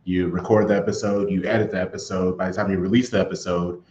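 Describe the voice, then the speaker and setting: A man's voice: low voice, level tone, fast talker, darkish tone. A man guesting on a podcast, telling his story casually, with a dull, echoey and noisy recording. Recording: echoey room, dull sound, audible noise.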